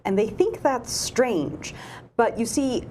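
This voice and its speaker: A woman's voice: fairly high pitch, animated, slow pace, a little mellow, a little hiss or hum. A woman hosting a conversational interview, wry and asking pointed questions, who often says "yes" to back her guest. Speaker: show host.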